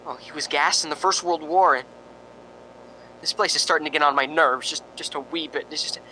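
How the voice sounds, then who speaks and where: A man's voice: a little high, steady tone, fairly quick, pushed and bright, a little hiss or hum, low-quality recording. A man playing a nervous, lonely radio reporter in a spooky radio drama, stammering and rambling with sighing ohs. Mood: uneasy, rambling. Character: jittery, lonely radio reporter broadcasting from a haunted house.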